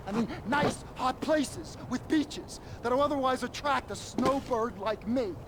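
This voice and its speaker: An actor playing a wary criminal accomplice in a video game, anxious and halting as he rambles. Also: very high voice, background noise. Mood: wary, relieved. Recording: music over voice. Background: music.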